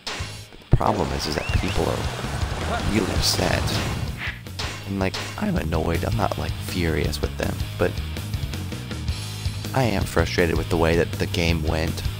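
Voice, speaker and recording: A man's voice: deep voice, a little slow, creaky, pauses often. A man giving casual, rambling commentary while streaming a video game. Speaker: gameplay streamer. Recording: loud game music under voice. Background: loud game music and battle sounds.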